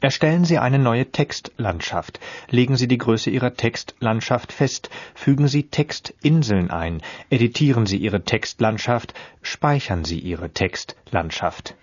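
A man's voice: medium pitch, a little slow, few pauses, dull audio. A man reading an experimental radio piece with a dry, declamatory manner and sudden shifts in tone.

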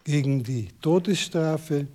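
A man delivers a grand, pompous address in a warm, relaxed voice. His pitch sits somewhat high. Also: slow.

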